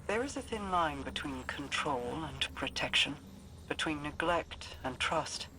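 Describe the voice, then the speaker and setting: A woman playing a wise, reserved leader in a video game, speaking formally in measured phrases. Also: low voice, lively intonation. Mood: calm, reflective. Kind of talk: scripted video game dialogue. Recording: clear ambient noise behind.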